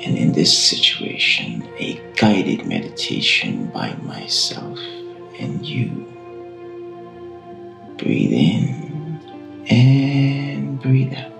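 A man guiding a meditation in a calm, hushed, often breathy delivery, in long unhurried phrases. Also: medium pitch. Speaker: guided meditation guide. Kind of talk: slow guided meditation narration. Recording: roomy echo with music behind. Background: singing bowl tones.

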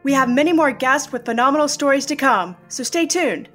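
A woman's voice: high-pitched, expressive, fairly quick, a bit bright. A woman reading a scripted podcast outro in a polished, upbeat way. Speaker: podcast announcer. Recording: faint music underneath.

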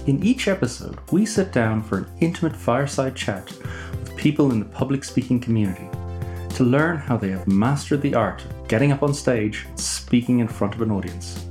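A man hosting a podcast, reading a scripted introduction and then chatting casually with a guest, measured and hesitant with fillers. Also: mid-range voice, expressive, a little mellow. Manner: measured, with fillers and restarts when unscripted. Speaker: podcast host. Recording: roomy sound with faint music behind.

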